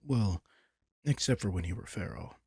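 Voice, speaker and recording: A man's voice: low-pitched, lively intonation, moderate pace, creaky, warm tone, husky, no echo, clean studio sound, full character voice. A man playing a caring vampire king in an intimate roleplay audio, speaking in a casual, teasing, protective way. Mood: relaxed, curious. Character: commanding but caring male vampire king.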